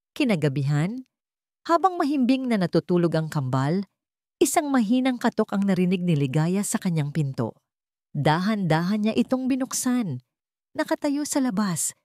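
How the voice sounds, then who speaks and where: A woman with a Filipino accent narrating a scripted romance story in a measured, emotive, dramatic way. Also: medium pitch, a little mellow, no echo, clean studio sound.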